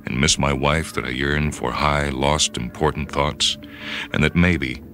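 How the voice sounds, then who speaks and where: A man reading a short story for radio as a brooding first-person narrator, with dramatic pauses. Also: extremely low pitch, fairly even intonation, bright, forceful tone. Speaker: story reader. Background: music and sound cues.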